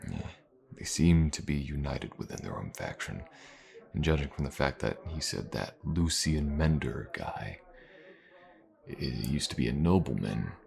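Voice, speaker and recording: A man playing a gruff, wry, loyal mercenary with a gravelly voice in a fantasy audio roleplay. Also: rumbling low, exaggerated voice.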